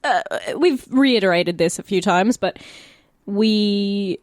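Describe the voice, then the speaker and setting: A woman's voice: medium pitch, takes it slowly, dry recording, clean studio sound. A young adult woman with an Australian accent in casual podcast conversation, thinking aloud in loose, informal phrasing. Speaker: podcast co-host, actor.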